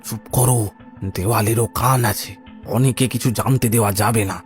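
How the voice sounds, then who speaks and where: A man playing a stern, secretive and protective middle-aged village uncle in an animated story, admonishing and commanding. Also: mid-range voice, fairly quick, close to an everyday voice. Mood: firm, secretive. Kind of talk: animated audio story dialogue. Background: music.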